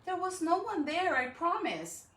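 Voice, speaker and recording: A woman's voice: extremely high pitch, sing-song, unhurried, a bit bright, roomy sound, distant mic, pitched above the performer's own voice, exaggerated voice. A woman voicing a young girl bear cub from a storybook, a falsely sweet, sugary sister. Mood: pleading.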